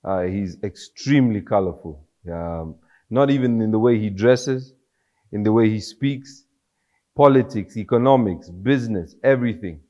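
A man in his thirties hosting a studio talk show, asking questions in a friendly, relaxed way. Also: fairly low pitch, normal speed. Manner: friendly, laid-back questioning. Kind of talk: casual interview. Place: studio set with armchairs. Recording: slightly dull studio audio.

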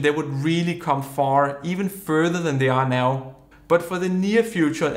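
A man, explaining a software product in a plain, upbeat review style. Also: a little high, reverberant. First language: Danish. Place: studio room with purple backdrop.

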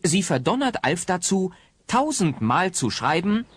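A man's voice: high voice, big pitch swings, measured pace, slight background noise. A man narrating a scripted comedy audio drama as a wry storyteller.

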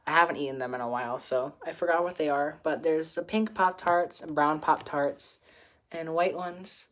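A teenage boy chatting casually in his bedroom, showing off new clothes, giggling and filling pauses with ums. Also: fairly high pitch, steady tone, a bit bright. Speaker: vlogger. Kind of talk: casual haul video. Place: small bedroom indoors. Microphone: camera close up at desk.

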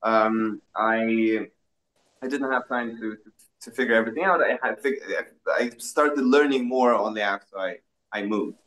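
A man's voice: fairly low pitch, normal speed, fairly bright tone, poor audio. A young man from Russia speaks on a laptop video call from a small plain room. He answers questions in an earnest way, often restarting his sentences and leaning on fillers. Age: young adult. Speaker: interviewed activist. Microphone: laptop webcam on a video call.

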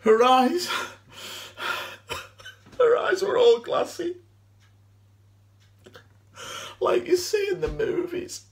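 A young man acting a dramatic stage monologue as a distraught, haunted man, speaking haltingly and emotionally with long pauses. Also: high voice, creaky, full character voice. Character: distraught man haunted by his girlfriend's suicide. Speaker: amateur actor.

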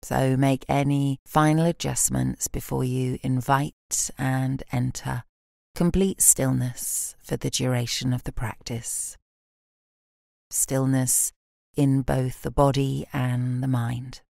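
A British woman guides a relaxation meditation in a strikingly deep voice. She is calm and soothing, with a soft, creaky edge. The recording is close and dry. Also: no room sound. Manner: calm, soothing, gently instructing with long pauses. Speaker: yoga nidra meditation guide.

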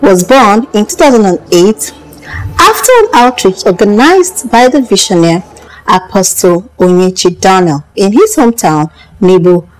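Lively woman narrating over music. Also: medium pitch, normal speed, poor audio. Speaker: documentary narrator. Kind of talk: scripted documentary narration.

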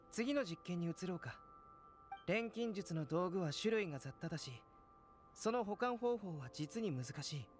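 Young scholar for fantasy game. High male voice, composed, polite, analytical, faint music behind. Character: young male alchemist, calm, curious, detached scholar. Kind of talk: anime video game acting.

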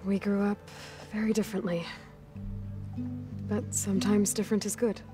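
Medium pitch, actress as composed young woman adventurer, patient, plain-spoken, game dialogue, music behind. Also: level tone, moderate pace, close to an everyday voice. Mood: reflective. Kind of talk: video game dialogue acting.

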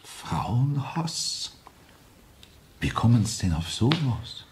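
An actor playing a composed, sceptical police inspector with a Viennese accent, questioning with measured authority in a crime radio drama. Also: male voice, mid-range voice, very animated, background noise. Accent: Viennese Austrian.

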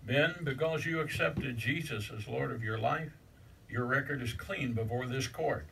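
A man's voice: medium pitch, moderate pace, gravelly, a bit bright, a little hiss or hum. A man playing a solemn heavenly judge. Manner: grave, formal pronouncements. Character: solemn divine male judge on a throne. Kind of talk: church stage drama. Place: church stage set, indoors. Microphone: distant camera mic. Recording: distant stage voice.

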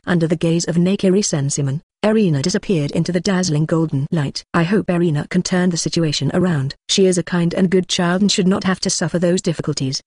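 Audiobook narration, translated web novel, reads punctuation aloud, dry close sound, mid-pitched voice. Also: fast talker, hardly any pauses, a little mellow, no echo.

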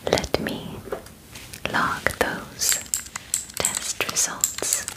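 A woman in her twenties playing a calm, clinical alien examiner in an ASMR roleplay, speaking formally and methodically close to the microphone. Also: rough recording. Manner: slow, formal, gently methodical, precise wording. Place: small bedroom, indoors. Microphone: close microphone in front of camera.

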